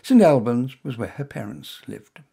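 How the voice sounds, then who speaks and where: A man reading his own short story aloud as first-person narration in a wry, expressive manner. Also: medium pitch, normal speed, gravelly, breathy edge.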